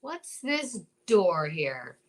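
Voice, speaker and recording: A woman's voice: on the low side, sing-song, drawn-out words, exaggerated voice. A woman playing a determined, blustering male marshal in an old-time radio serial, with an emphatic, folksy, commanding delivery.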